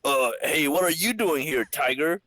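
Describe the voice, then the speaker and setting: Man putting on a lively, friendly young woman. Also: fairly high pitch, moderate pace, no echo, pitched above the performer's own voice. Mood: surprised, friendly. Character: casual, friendly young woman, hero's sweetheart. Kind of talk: comic book dramatic reading.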